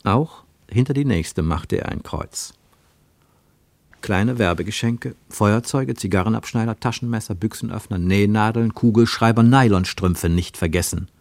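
Man as radio play narrator, low-pitched, measured literary delivery, dry close sound. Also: gravelly, a little mellow, no echo. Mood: measured, reflective. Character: measured, literary male narrator of a travel tale.

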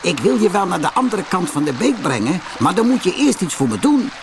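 A man's voice: high-pitched, sing-song, a little fast, background noise, pitched above the performer's own voice. A man voicing a big, bossy, threatening cat in a storybook, with an imperious manner. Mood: demanding.

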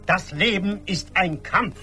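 A man's voice: high-pitched, takes it slowly, pushed and bright, faint music behind, full character voice. An actor playing a pedantic, preachy man lecturing his friend in an emphatic, superior manner in a radio play. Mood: urging, moralising. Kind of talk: 1980s German radio play acting.

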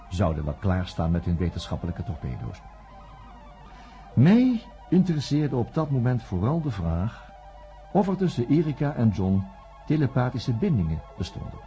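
A wry investigator looks back on his case in a very dark, mellow, lowish voice. His narration moves with lively melodic turns. The sound is dull and lo-fi, with faint music underneath. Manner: wry, reflective, measured. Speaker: narrator of radio play. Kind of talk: scripted radio play narration.

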